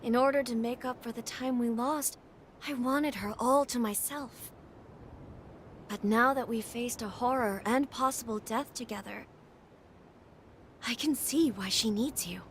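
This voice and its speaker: A woman's voice: a little high, unhurried, long pauses, a little hiss or hum. A woman playing a haughty, overprotective noble sister in a video game cutscene, speaking in a formal, dramatic manner. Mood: sincere, softened.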